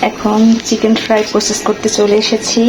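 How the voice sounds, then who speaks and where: A woman's voice: medium pitch, monotone, a little fast. A woman narrating her home cooking step by step in a chatty, casual way. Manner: chatty, step-by-step kitchen commentary. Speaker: cooking vlogger. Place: home kitchen. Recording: loud background noise.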